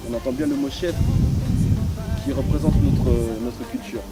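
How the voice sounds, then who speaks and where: A man speaks in a medium-pitched voice as an interview guest. He is casual and agreeable, feeling his way through sentences and repeating words as he goes. Music is clearly audible behind him. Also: steady tone, normal speed, rough recording. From Congo. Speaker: fashion brand representative being interviewed.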